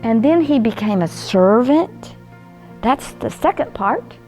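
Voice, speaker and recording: A woman's voice: fairly high pitch, lively intonation, slow, a little mellow, faint music behind. An older woman hosting bedtime stories and faith lessons for small children, in a grandmotherly, sing-song, coaxing manner, often putting questions to her young listeners. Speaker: children's storyteller and host.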